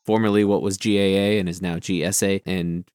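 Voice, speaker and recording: A man hosting a podcast, chatting in a relaxed way with many fillers. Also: sits low, brisk, clean tone, no echo, studio quality. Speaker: podcast host. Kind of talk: casual podcast interview.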